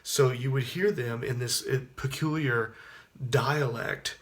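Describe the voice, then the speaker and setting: A man in his thirties from Texas, with a Texas accent and a baritone voice, talking casually to camera and rambling with many restarts. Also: takes it slowly, fairly bright tone. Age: 30s. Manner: rambling, with frequent restarts and fillers. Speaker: actor and singer. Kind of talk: casual spoken reflection to camera.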